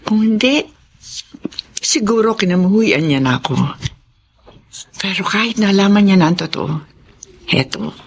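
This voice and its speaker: An actress with a Filipino accent playing a humble, grateful middle-aged mother in a radio drama, with a husky voice. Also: medium pitch, lively intonation, moderate pace, long pauses. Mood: grateful, humbled.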